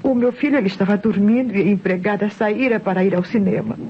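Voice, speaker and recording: An actress playing a distressed Brazilian widow and mother testifying in an old radio mystery, in a dramatic, halting manner. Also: mid-range voice, fairly even intonation, close to an everyday voice. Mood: shaken. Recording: muffled, noisy old radio recording.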